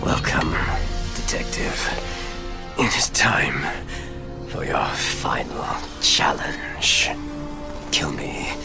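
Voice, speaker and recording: An actor playing a grandiose, sinister villain mastermind in a game, gravelly and breathy, commanding, swinging from whispers to shouts. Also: male voice, very deep voice, sing-song, extremely slow, full character voice. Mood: commanding, tempting. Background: loud dramatic music.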